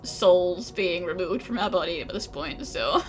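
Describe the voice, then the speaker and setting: A woman's voice: lowish voice, sing-song, measured pace. A woman gaming streamer giving casual playthrough commentary with wry, sarcastic asides.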